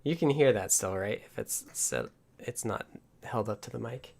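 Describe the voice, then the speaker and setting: A man casually talking through live coding and electronics as a stream host, halting as he thinks aloud. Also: fairly low pitch, vocal fry, slight background noise. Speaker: livestream host and software developer.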